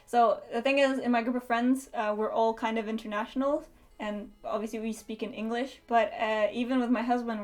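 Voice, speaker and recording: A young woman from Romania, a native Romanian speaker, chatting casually to camera as a book vlogger, laughing often and restarting her sentences. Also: on the high side. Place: home in Denmark.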